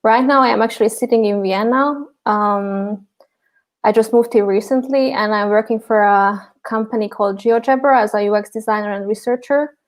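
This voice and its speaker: A woman's voice: a little high, normal speed. A woman from Hungary, introducing herself casually on a video panel, with fillers and small laughs. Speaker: panelist, UX designer. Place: home room with balcony door.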